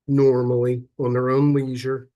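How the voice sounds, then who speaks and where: A man with a head cold and a slightly stuffy voice, giving a relaxed, chatty online presentation with frequent ums and restarts over a computer mic. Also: medium pitch, a little slow. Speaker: sales and marketing officer presenting a webinar. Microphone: computer mic on a video call.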